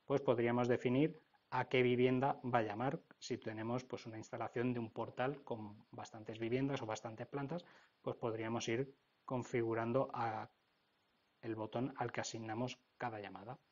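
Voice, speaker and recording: A man's voice: medium pitch, steady tone, normal speed, a little mellow, muffled recording. A man with an accent from Spain narrating an informal technical tutorial, explaining step by step and often checking in. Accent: Spain Spanish. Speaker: technical instructor.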